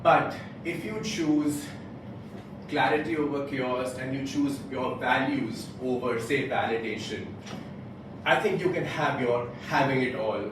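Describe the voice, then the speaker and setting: A very reverberant, distant recording with some noise carries a young man. He delivers a motivational club speech deliberately, breaking it into short rhetorical phrases. His pitch sits in an ordinary middle range for a man. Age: late twenties. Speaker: club speaker giving a prepared speech. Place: indoor club meeting room, picked up from a distance. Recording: very echoey room, distant mic, background noise.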